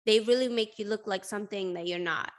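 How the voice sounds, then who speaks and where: A young woman from Sweden, hosting a casual interview and talking in a chatty, animated way with frequent laughter. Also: medium pitch, a little fast. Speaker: host.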